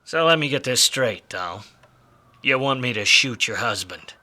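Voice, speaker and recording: Hard-boiled noir detective, bright edge. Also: male voice, medium pitch, lively intonation, unhurried, slight background noise. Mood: dry, sardonic. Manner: hard-boiled, wry, slangy. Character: world-weary wisecracking male 1940s private detective narrator. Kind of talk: film noir radio play acting.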